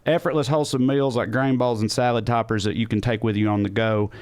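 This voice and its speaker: A man's voice: medium pitch, steady tone, fast, darkish tone. A man hosting a comedy podcast, talking in a casual, conversational way. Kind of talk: casual banter and host-read ad.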